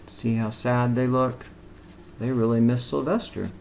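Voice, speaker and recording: A man reading a picture book aloud to young children as a teacher, pausing for simple explanatory asides. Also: medium pitch, steady tone, gravelly, darkish tone, a little hiss or hum.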